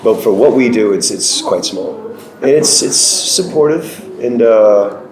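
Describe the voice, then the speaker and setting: Low-voiced man in a noisy venue. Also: expressive, unhurried. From Chicago. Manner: rambling, repeats words while thinking. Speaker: band guitarist and singer. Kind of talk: casual interview chat. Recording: roomy venue with crowd noise.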